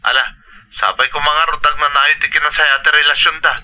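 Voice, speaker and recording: A man's voice: a little high, little pitch movement, brisk, bright, forceful tone, rough recording. A man with an Ilocano accent playing a concerned, frustrated elder brother through a telephone filter in a radio drama. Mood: resigned, concerned.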